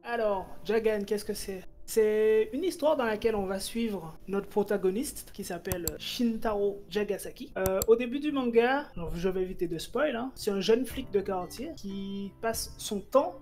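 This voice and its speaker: Woman chatting about manga, lively fast bursts, lots of euh and restarts, mid pitch, little music in back. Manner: animated, quick bursts with many fillers and restarts. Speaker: manga reviewer. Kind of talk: casual chat.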